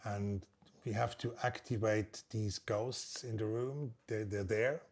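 A man in his sixties speaks in a fairly low voice with a Swiss German accent, his native language being German. He explains his ideas reflectively in short phrases, as an interviewee in conversation. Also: fairly even intonation, slow. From Basel, Switzerland. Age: 60s. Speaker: interviewed composer and percussionist. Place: indoor room at a large wooden table under glazed roof.

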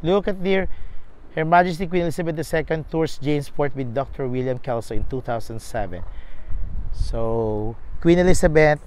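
A man's voice: on the high side, moderate pace, a little mellow, rough recording. A man in his thirties narrating a walking tour outdoors on a lapel mic, reading signs aloud in a plain explanatory way. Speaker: travel vlogger. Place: outdoors at a riverside historic site. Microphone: lapel mic, selfie camera at arm's length.

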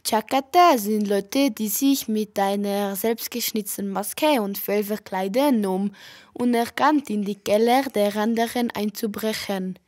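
A woman's voice: medium pitch, a little slow, a little mellow, natural voice. A woman acting a curious, stubborn young foreign newcomer in an amateur radio play. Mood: curious, earnest.

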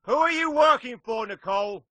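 An actor playing a stern, accusing British secret agent in an intense video game cutscene. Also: male voice, very high voice, animated, normal speed, sharp tone, slight background noise, full character voice. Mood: insistent.

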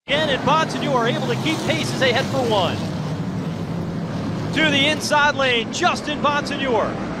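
A man calling live play-by-play for a stock car race, with excited, rapid race calling. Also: very high voice. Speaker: race commentator. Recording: loud race-car noise underneath. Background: roaring race engines.

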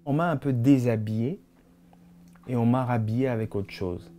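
A man's voice: medium pitch, moderate pace, a little hiss or hum. A man in his thirties, born and raised in Congo, talking reflectively about his art in a documentary interview in his studio. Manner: reflective, expressive hand gestures. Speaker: visual artist.

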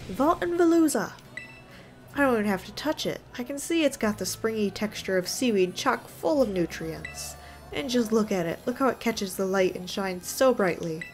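A woman voicing an excitable, food-obsessed old man gym leader with folksy exclamations. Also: close to an everyday voice. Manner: exclaiming, folksy, booming catchphrase.

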